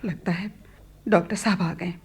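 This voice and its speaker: A woman's voice: fairly low pitch, fairly even intonation, normal speed, slightly rough. A woman acting an anxious, devoted mother in a radio play, coaxing and pleading with worry. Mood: distressed. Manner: coaxing, imploring.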